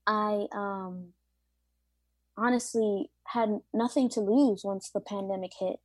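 A woman's voice: mid-range voice, fairly even intonation, takes it slowly, darkish tone, dry recording, studio quality. Earnest woman pastry chef in her late twenties. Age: late 20s. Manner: earnest and reflective, with frequent fillers. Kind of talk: interview conversation.

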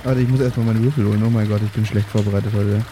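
A man's voice: lowish voice, fairly even intonation, rapid-fire, noisy background. A man playing in a tabletop game podcast, chatting casually at the game table.

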